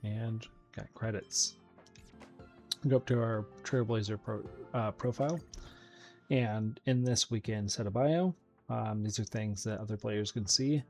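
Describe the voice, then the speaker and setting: A man's voice: lowish voice, darkish tone. A man giving casual, explanatory commentary as he hosts a video game playthrough. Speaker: gaming video host.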